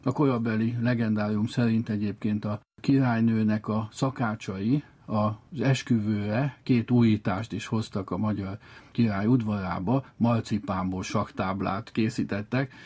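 Husky, dark-voiced man explaining history. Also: fairly low pitch. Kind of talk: explanatory storytelling talk.

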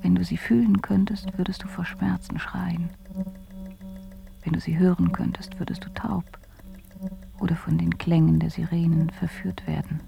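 An actress playing a bedridden, dreamy woman in a radio drama, with a hushed, breathy and halting delivery. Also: lowish voice, level tone, over music. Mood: intense, intimate. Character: bedridden dreamy woman describing visions, whispering tenderly to Phil.

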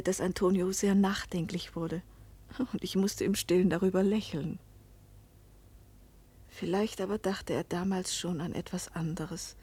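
A woman's voice: on the low side, darkish tone, breathy edge, no echo. An actress playing a middle-aged mother who tells her life story in a restrained, wistful, intimate way in a radio drama. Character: weary, hopeful Italian mother narrating her life's disappointments. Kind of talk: radio drama first-person narration and dialogue.

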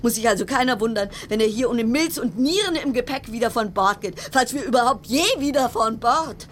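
An actress in a German radio play voicing a frayed, suspicious cruise ship passenger in a brooding monologue of broken-off, repeated phrases. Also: female voice, on the high side, a little fast, fairly bright tone, a little hiss or hum, lightly acted. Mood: agitated, paranoid. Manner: fragmented, broken-off sentences, repeating words. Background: music.

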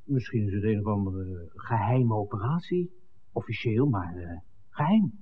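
Husky, wry radio-play sidekick. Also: male voice, lowish voice, lively intonation, normal speed. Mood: hesitant. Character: Mark, wry hesitant cameraman hiding in a church. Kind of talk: 1960s Dutch radio drama acting. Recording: thin, phone-like old radio recording.

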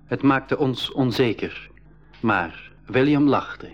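An expedition member recounting his adventure for a radio drama. His mid-pitched voice tells the story in a measured way. The 1980s recording sounds dull. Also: lively intonation, soft background music. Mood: measured storytelling. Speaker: narrator. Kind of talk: scripted first-person radio drama narration.

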